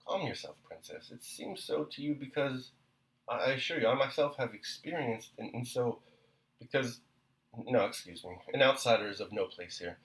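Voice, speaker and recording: A man voicing an awkward young gentleman who stammers and backs away in embarrassment. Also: mid-range voice, animated, moderate pace, poor audio. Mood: flustered. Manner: stammering, flustered.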